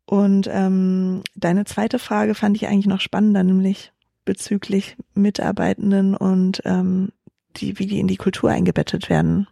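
Young woman founder, dark mellow voice, dry close sound, thinks out loud with lots of fillers. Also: medium pitch, completely flat delivery, normal speed, creaky, no echo. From Germany. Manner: thoughtful, many fillers and restarts. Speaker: startup founder, guest. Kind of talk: reflective interview answers.

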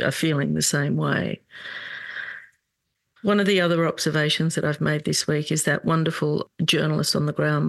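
A middle-aged woman hosting a solo commentary podcast, talking in an earnest, indignant way with halting restarts. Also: low voice, vocal fry, no room sound. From Australia. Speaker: podcast host.

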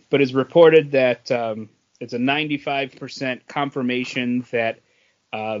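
A man co-hosting a podcast, chatting casually about entertainment news in a halting, hesitant way. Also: mid-range voice, lo-fi sound. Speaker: podcast co-host. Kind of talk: casual chat about movie and comics news.

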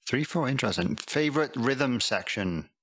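A man, a podcast host firing off quick questions in a brisk, friendly way. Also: medium pitch, animated, fairly bright tone, breathy edge. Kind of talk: quick-fire interview questions.

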